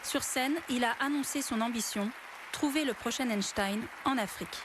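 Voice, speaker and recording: A woman reading a documentary voice-over in a smooth, measured way. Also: fairly high pitch, heavy background noise. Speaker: documentary narrator. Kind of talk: scripted narration. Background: background music.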